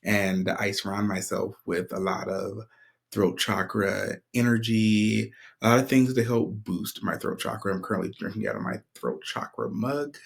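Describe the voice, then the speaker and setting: A man hosting a spiritual podcast in a casual, conversational way, clearing his throat often while his voice recovers. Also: fairly low pitch, normal speed. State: recovering from a sore voice. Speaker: podcast host.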